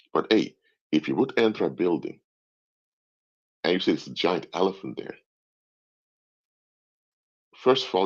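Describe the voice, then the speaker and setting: Very deep gravelly man. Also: a little mellow. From Curaçao, raised in the Netherlands. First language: Papiamento and Dutch. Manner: explanatory, repeats and restarts phrases. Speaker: live stream host, anthropologist. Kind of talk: casual live stream monologue. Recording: dull lo-fi stream audio.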